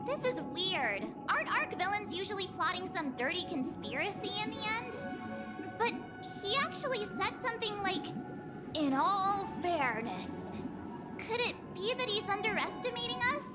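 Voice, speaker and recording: A woman voicing a small, childlike, inquisitive mascot companion in a video game, with expressive delivery. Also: extremely high pitch, moderate pace. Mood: puzzled, curious. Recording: loud music under the voice.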